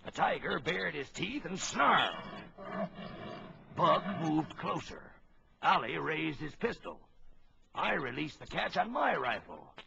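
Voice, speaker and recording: A man's voice: on the high side, sing-song, takes it slowly, gravelly, background noise, heavily acted. A man playing an authoritative ship's captain who narrates a suspenseful animal adventure for a children's story record. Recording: old lo-fi children's record, music behind.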